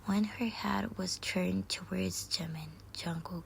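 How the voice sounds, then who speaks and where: A woman whispering a romance story aloud in a slow, halting read-aloud manner. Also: mid-range voice, steady tone, creaky, a little hiss or hum, rough recording. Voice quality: unvoiced whisper. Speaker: fan fiction reader.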